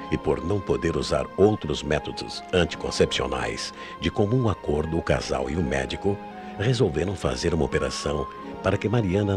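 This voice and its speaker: Very deep, animated Brazilian male radio narrator. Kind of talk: dramatic scripted mystery storytelling for radio.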